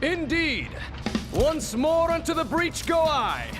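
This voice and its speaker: Very high, grandiose storybook knight. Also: male voice, very animated, takes it slowly, exaggerated voice. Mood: grandiose, proud. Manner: flowery, pompous, declaiming. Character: grandiose, noble, eager medieval knight. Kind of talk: animated video game cutscene acting. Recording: loud music behind the voice.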